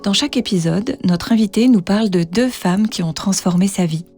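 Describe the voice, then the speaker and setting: A woman's voice: medium pitch, fairly quick, soft background music. A woman co-hosting a podcast, reading a prepared introduction and welcoming her guest. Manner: reads prepared text, warm laughs between lines. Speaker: podcast co-host. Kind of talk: scripted podcast intro and interview.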